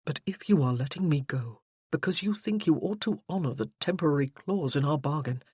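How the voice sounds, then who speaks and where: A reader doing a charming young Regency gentleman. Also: fairly high pitch, expressive, fairly quick, slightly rough, dry recording, studio quality. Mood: earnest.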